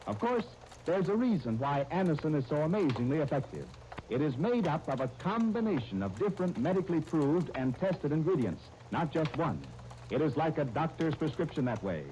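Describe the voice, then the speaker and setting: Mellow, expressive radio announcer man. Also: fairly high pitch, low-quality recording. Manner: formal, measured, deliberate phrasing. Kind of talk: 1930s radio scripted announcing and narration. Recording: old 1930s radio disc, faint noise.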